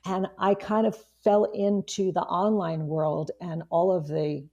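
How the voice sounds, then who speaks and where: A woman in her fifties with a hoarse voice, a guest chatting casually in an interview, rambling with many restarts. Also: on the low side, flat delivery, a little slow, mellow, dull audio. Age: 50s. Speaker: guest, online marketing strategist.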